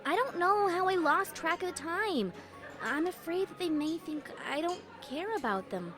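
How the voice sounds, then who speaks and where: Anxious, high-voiced young unicorn, played by a woman. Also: lively intonation, fairly bright tone. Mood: guilty, fretful. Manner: hesitant, halting. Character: anxious, guilt-ridden studious young unicorn mare. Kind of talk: dramatic reading of fan fiction. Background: music.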